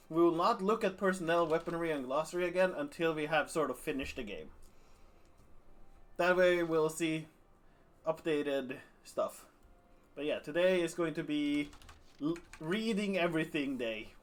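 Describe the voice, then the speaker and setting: A man streaming a strategy game, chatting casually about his plans and greeting viewers in a rambling, self-deprecating way. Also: a little high.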